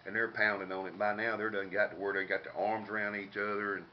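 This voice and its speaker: Deep-voiced older Southern storyteller, dull noisy recording. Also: male voice, flat delivery, fast talker. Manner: folksy, drawling country talk with colorful slang and mild profanity. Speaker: comedic storyteller. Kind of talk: casual comic personal storytelling. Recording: dull lo-fi home recording, background noise.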